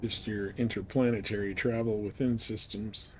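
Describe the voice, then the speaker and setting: A man's voice: on the low side, fairly even intonation, moderate pace, a little hiss or hum, rough recording. A man live-streaming a game, giving casual commentary and advice in a rambling, informal way.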